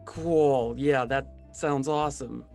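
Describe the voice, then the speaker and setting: A man's voice: mid-range voice, steady tone, slow pace, a little mellow, soft background music. An actor playing a laid-back, agreeable man making small talk in an audio drama. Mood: casual, agreeable.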